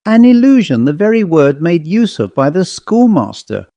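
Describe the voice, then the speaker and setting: A man narrating a Victorian ghost story as a scripted audiobook, in a measured, dramatic storytelling manner. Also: high voice, sing-song, mellow, no room sound.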